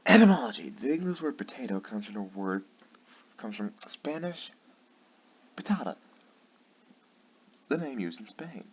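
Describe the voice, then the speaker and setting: A man's voice: medium pitch, big pitch swings, measured pace, long pauses. A tired man reading aloud late at night, stumbling over words and breaking off for sarcastic, swearing asides. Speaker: channel host. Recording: dull lo-fi with faint noise.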